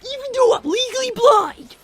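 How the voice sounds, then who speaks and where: Woman as a squeaky, pompous five-year-old boy. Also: normal speed, over music. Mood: insistent, dramatic. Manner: pompous, deadpan, formal wording beyond his age. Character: precocious, snarky, imperious five-year-old cartoon boy. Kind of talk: improvised in-character gaming livestream.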